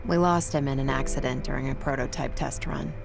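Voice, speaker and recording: An actress playing a brash, loyal ace test pilot in a video game, speaking in a casual, confident, plain-spoken way. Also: female voice, very deep voice, fairly even intonation, a little fast, gravelly, music in the background. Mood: bitter, grave. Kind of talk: dramatic video game cutscene acting.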